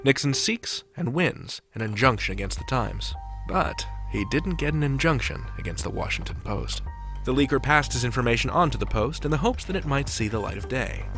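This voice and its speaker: A man's voice: medium pitch, fairly bright tone. A man hosting a podcast, reading scripted narration. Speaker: podcast host.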